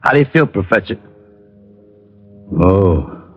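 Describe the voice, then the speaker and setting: An American man playing a slow-witted, blustering, grumbling police sergeant as comic relief in old radio drama. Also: low-pitched, animated, faint music behind, full character voice. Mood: gruff. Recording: muffled, noisy old radio recording.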